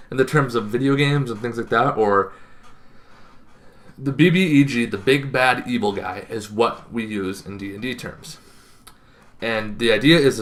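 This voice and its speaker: A young man talking casually to camera as a gaming video host, emphatic, with dramatic pauses and short punchy phrases. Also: medium pitch, fairly bright tone. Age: young adult. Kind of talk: casual explanatory talk to camera. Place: small bedroom, indoors.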